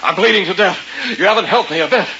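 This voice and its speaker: An actor playing a desperate, haunted husband in an old radio horror play, anguished and agitated. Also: male voice, sits high, fast. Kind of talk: 1950s radio horror acting. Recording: muffled, very noisy old radio recording.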